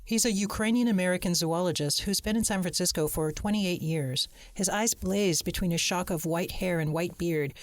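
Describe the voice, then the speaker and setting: A radio reporter reads a scripted narration in a measured, even way. The recording is dry and close, with no room sound at all. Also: medium pitch, no room sound.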